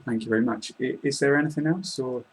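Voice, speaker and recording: A man's voice: mid-range voice, fast, husky, poor audio. A British man in his twenties hosting an informal interview, polite and explanatory, recorded on a laptop webcam in a small room. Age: 20s. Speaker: host, English teacher. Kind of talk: casual interview chat.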